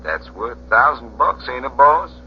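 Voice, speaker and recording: Actor as sly male blackmailing porter, taunting street slang, animated pitch, old radio crime drama, muffled hissy recording. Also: unhurried, lightly acted. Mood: sly, menacing.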